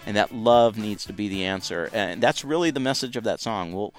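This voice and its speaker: A man's voice: fairly low pitch, fairly quick, soft background music. A man hosting a talk radio show, speaking enthusiastically and rambling, with many restarts. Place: radio studio.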